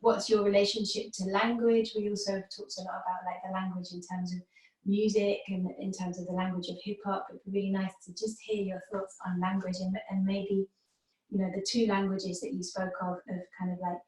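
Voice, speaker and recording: A woman's voice: medium pitch, level tone, a little fast, a little mellow, far from the mic. A woman hosting a conversation, asking encouraging, loosely phrased questions. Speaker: host and choreographer. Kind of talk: interviewing a collaborator. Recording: roomy sound from away from the mic.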